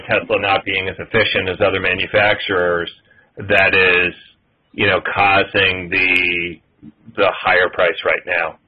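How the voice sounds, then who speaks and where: A man asking long, detailed, measured questions as an analyst on an earnings conference call. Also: low voice, husky. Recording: muffled, tinny conference phone line.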